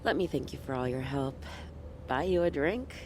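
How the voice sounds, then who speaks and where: An actress playing a troubled, guarded young woman in a noir audio drama, with a cool, coy, deliberate delivery. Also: a bass voice, noisy background. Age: young adult.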